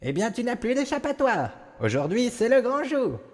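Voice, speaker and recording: A man playing a kindly, excitable skeleton brother in a comic dub, pompous and theatrical. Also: very high voice, normal speed, in a large hall. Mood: proud, encouraging. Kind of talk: dramatic fan comic dub.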